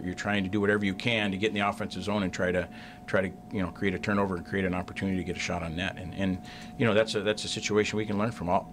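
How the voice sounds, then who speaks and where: A middle-aged hockey coach speaks into a desk mic at a press conference, his voice sitting on the low side. He talks in halting bursts, doubling words and restarting sentences. A faint rumble hangs under the room. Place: press room in front of a sponsor backdrop. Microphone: desk mic on a stand.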